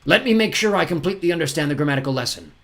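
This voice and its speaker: Man putting on a sneering villainous sea captain, lively. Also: on the high side, very fast, voice pushed up. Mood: sinister. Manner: mocking, theatrical. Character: menacing one-eyed male sea captain villain, sly, sneering.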